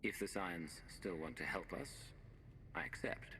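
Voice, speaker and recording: A terse hero commander. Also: male voice, sits low, measured pace, bright, forceful tone, in a large hall, a little hiss or hum. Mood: firm. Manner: clipped, decisive.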